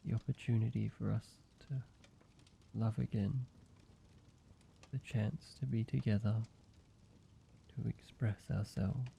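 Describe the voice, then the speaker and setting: A man playing a gentle, devoted male vampire lover in an intimate audio roleplay, speaking earnestly and haltingly to the listener. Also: fairly low pitch, vocal fry, exaggerated voice. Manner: halting, hushed pleading, soft reassurance with pauses. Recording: dull sound, faint background noise.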